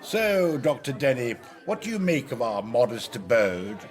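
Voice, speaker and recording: An actor playing a bluff, condescending wealthy husband in a period radio drama, hearty and jocular with a sneer. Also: male voice, mid-range voice, very animated, moderate pace, close to an everyday voice. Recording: music behind the voice.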